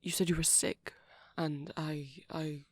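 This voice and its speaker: A man acting a shy, tender young vampire boyfriend in intimate ASMR roleplay, speaking softly and breathily, often near a whisper, with a hesitant, apologetic manner. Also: a little high, flat delivery, no echo. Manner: hesitant, halting, apologetic, gentle.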